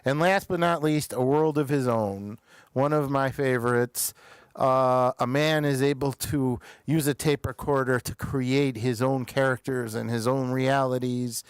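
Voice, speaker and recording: A man hosting a show, chatting casually through episode reviews in a halting way, pausing often mid-thought. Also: mid-range voice, fairly even intonation, smooth, no echo.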